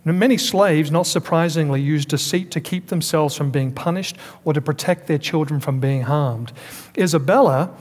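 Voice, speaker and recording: A man's voice: on the high side, a little mellow. A man preaching a Sunday sermon to a congregation, stressing key words and putting questions to the listeners. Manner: preaching with emphatic pauses and calls to the congregation. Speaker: pastor. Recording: long hall reverb.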